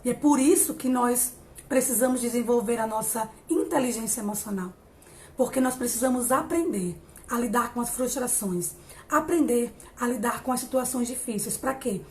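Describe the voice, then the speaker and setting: A woman in her late thirties giving an encouraging live-stream talk on emotional growth, pausing often and restarting her sentences. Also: on the high side, slight background noise. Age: 30s. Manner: warm, encouraging, many hesitations and restarts. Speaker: psychologist hosting a live stream.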